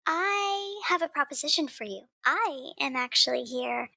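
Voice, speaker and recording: A woman's voice: high voice, lively intonation, unhurried, vocal fry, sharp tone, no echo, studio quality, heavily acted. A woman voicing a polite, scheming rebel droid in a tabletop game, with formal, precise wording. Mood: polite, persuasive.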